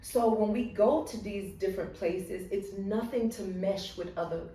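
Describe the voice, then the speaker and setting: A woman's voice: mid-range voice, moderate pace, warm tone. A woman with a Southern American accent hosting a casual conversation, talking emphatically and often checking for agreement. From United States. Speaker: podcast host. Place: room in Dubai. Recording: echoey room, distant mic, faint noise.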